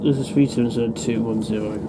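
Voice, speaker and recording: Man, train hobbyist, offhand running commentary, camera mic, loud carriage rumble. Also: fairly even intonation, fast. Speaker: train enthusiast filming a ride. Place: inside a moving commuter train. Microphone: handheld camera at the window. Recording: noisy on-board camera audio. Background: loud train rumble and air conditioning.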